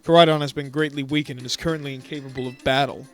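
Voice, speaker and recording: A man's voice: medium pitch, fairly even intonation, faint music behind, close to an everyday voice. A man reading aloud the lines of a courteous, formal male academy director in a video game. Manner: courteous and measured.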